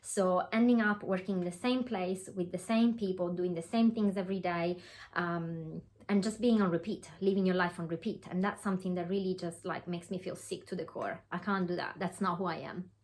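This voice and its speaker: A woman's voice: fairly low pitch, steady tone, brisk. Earnest Italian woman with a phone. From Italy. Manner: warm, earnest, lots of fillers and restarts. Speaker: online business coach. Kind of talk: direct-to-camera webinar talk. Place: home room.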